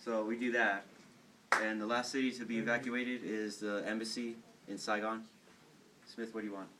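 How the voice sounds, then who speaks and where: A man's voice: lowish voice, flat delivery, moderate pace. Young American man, distant noisy recording. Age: teens to young adult. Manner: slangy, irreverent. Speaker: student cadet telling history stories. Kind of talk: casual, joking history storytelling. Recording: noisy room, distant microphone.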